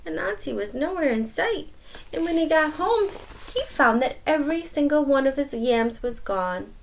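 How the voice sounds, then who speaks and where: A woman's voice: sits high, lively intonation, moderate pace, creaky, a little hiss or hum, low-quality recording. A woman reading a storybook aloud to children, explaining as she goes and repeating words for emphasis. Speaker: storybook reader. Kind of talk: expressive read-aloud to children.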